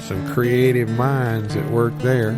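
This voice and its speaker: A man's voice: mid-range voice, flat delivery, natural voice. Man playing a folksy storyteller over guitar music. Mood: wry, wistful. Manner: folksy, wry, unhurried storytelling. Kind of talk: improvised comic monologue. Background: plucked guitar music.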